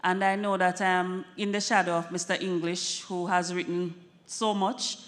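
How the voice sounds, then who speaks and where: A woman's voice: fairly low pitch, little pitch movement, moderate pace, fairly bright tone, big-room sound. A woman in the audience making a polite, deferential comment on policy. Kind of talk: formal comment from the floor.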